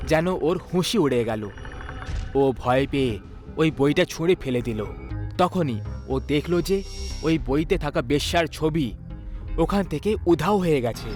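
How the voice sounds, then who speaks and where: A man narrating a scripted horror story. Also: fairly high pitch.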